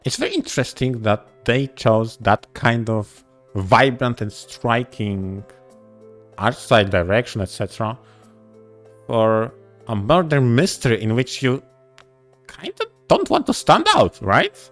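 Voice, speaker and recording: A man talking casually as a podcast co-host, a little halting, with emphatic repeated phrasing. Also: medium pitch, animated, a bit bright, faint music behind.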